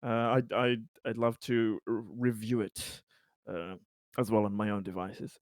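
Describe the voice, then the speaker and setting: A man's voice: on the low side, level tone, dry recording. Guy at a tabletop rpg session, stumbles and repeats words, casual, very dry audio. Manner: halting, stammering, repeating words. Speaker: player in a tabletop roleplaying game. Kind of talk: casual in-character roleplay and chat.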